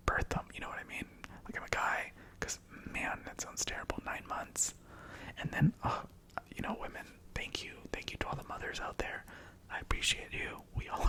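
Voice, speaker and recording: A man's voice: high voice, rough recording. A man whose first language is Spanish, whispering numbered facts ASMR-style with casual chatty asides. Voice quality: soft unvoiced whisper. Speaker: ASMR host. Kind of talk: ASMR whispered fact reading.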